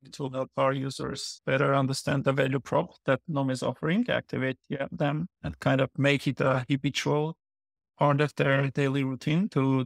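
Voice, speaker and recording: A man, a podcast guest who answers in measured, short phrases. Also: medium pitch, vocal fry, no echo. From Armenia. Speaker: guest, product lead. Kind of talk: podcast interview.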